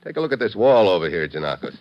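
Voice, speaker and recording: An actor playing a tough, wry American secret agent, hard-boiled and slangy, in 1950s radio drama style. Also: male voice, on the low side, sing-song, quick pace, muffled recording, background noise. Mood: alert, curious.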